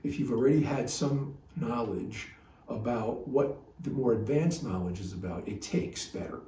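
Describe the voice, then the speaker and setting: A man's voice: mid-range voice, normal speed, dark tone. A man giving a reflective spiritual talk, explaining ideas in a thoughtful way and reading poems aloud. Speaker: psychotherapist reading his own poems and teaching. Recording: roomy, distant mic, faint noise.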